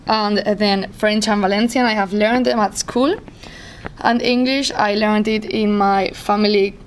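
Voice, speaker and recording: A woman's voice: medium pitch, steady tone, unhurried, a little hiss or hum. Young woman from Spain, Spanish accent, hesitant student interviewee, mid-range voice. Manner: hesitant, with frequent "uh" fillers. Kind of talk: casual interview answers.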